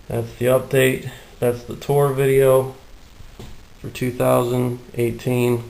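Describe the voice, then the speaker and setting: A man shows off his tools and builds in an unhurried, rambling way, his voice gravelly and worn raspy and a little out of breath. He speaks in a low, flat drawl with little rise and fall. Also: rough recording. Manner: relaxed, rambling explanations with many fillers. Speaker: prop maker giving a workshop tour. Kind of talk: casual workshop tour. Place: hot, humid home workshop.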